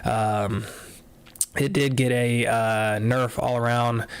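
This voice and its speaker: A man casually walking through game patch notes live, a little nasal after a cold, talking in a relaxed way with lots of fillers. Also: mid-range voice, slow pace, gravelly, smooth. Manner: relaxed, filler-heavy, offhand commentary. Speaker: gaming content creator.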